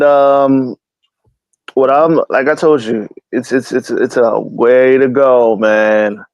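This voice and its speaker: A man hosts a loose live talk show, rambling in heavy slang and dropping curse words as he goes. His voice sits at an ordinary pitch. The sound is dry and close. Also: no echo. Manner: slangy and rambling, keeps saying "you know what I'm saying"; swears freely and jokes around. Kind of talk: casual streamed chat.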